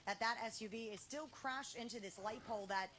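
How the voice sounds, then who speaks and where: Bright, forceful woman reporting live. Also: fairly high pitch, a little fast, slight background noise. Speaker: TV news field reporter. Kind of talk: live on-scene news report. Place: outdoors at night at a crash scene.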